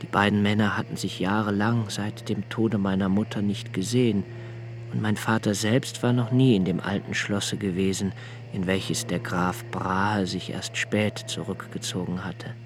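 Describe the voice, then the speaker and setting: An actor playing a sensitive, impoverished young poet, speaking an introspective, deliberate monologue in a radio play. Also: male voice, on the low side, level tone, a little hiss or hum, lightly acted. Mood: hushed, remembering. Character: sensitive, brooding, poor young foreign poet and diarist. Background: music.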